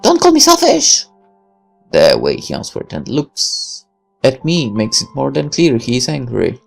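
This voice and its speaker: Casting: male narrator for a visual novel, creaky low-end edge, very expressive, soft music underneath. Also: measured pace. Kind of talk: dramatic first-person visual novel read-aloud.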